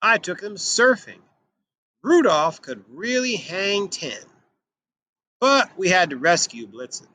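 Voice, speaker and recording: Animated, bright-voiced man reading to children. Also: high-pitched, takes it slowly, long pauses. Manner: animated, emphatic delivery. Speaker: children's storybook reader. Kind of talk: expressive read-aloud of a picture book for children.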